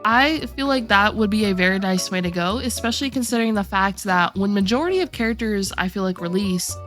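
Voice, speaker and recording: A woman with a mid-range voice, a little rough from a sore throat, hosts a gaming commentary video. She explains character placements in a steady, methodical way. Faint music sits quietly underneath her voice. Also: medium pitch, brisk. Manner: steady explanatory walkthrough, addressing viewers directly. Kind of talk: game tier list commentary.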